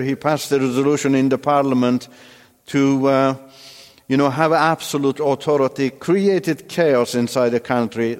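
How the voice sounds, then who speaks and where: A man from Iran whose first language is Turkish, giving a personal testimony in a halting way with many restarts. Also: mid-range voice, darkish tone, long reverb tail. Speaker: testimony speaker.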